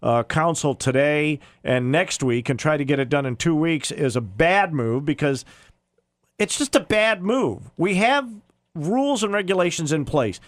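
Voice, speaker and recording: A man's voice: on the high side, lively intonation, measured pace, no room sound. An American man hosting a radio talk show, questioning and arguing emphatically in an opinionated live interview.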